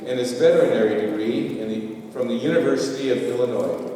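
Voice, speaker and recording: A man's voice: medium pitch, a little fast, mellow, slight background noise. Man reading an intro in a hall. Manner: reads a bio with light asides. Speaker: event emcee introducing the guest. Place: large auditorium stage. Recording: echoey hall from far off.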